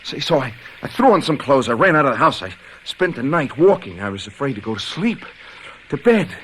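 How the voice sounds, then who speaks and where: An actor in a radio drama playing a brash young hipster punk, cocky but haunted, slangy and stammering. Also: male voice, medium pitch, sing-song, a little fast, fairly bright tone, a little hiss or hum. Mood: shaken. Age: young adult.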